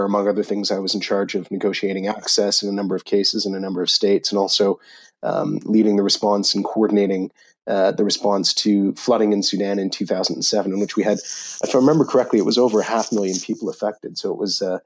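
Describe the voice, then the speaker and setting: A middle-aged man from Canada being interviewed on a podcast, speaking in a measured way with many pauses and fillers. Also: on the low side, breathy edge. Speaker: guest, author and UN official. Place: office in Jerusalem.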